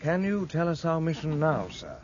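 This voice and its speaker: An actor playing a sardonic, world-weary British naval lieutenant with a husky voice in a 1950s radio drama. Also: male voice, on the high side, very animated, moderate pace, a little hiss or hum. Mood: cool, disapproving. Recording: dull old radio recording.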